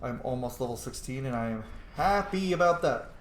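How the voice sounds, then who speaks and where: A man's voice: mid-range voice, expressive, fairly quick. Male gaming host, casual and opinionated, rambling and sweary, recorded in an echoey room with faint noise. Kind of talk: casual gameplay commentary. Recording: roomy echo, faint background noise.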